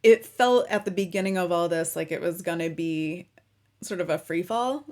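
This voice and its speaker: Chatty woman host, lowish voice. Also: normal speed, a little mellow. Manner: chatty, laughs easily, rambling questions. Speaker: interview host. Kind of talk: casual conversational interview.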